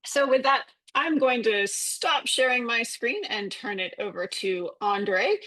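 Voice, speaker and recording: A woman's voice: on the high side, lively intonation, measured pace, sharp tone, rough recording. Woman hosting an association webinar. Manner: friendly, informal, some fillers. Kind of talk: event announcements and introduction.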